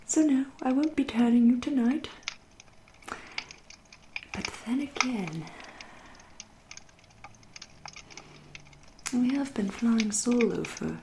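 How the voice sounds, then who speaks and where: A woman playing a centuries-old vampire in an ASMR roleplay, with an English accent, a soft breathy half-whisper and a genteel, teasing, politely menacing manner. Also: on the high side, noisy background. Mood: patronising, musing. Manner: slow, deliberate, politely menacing.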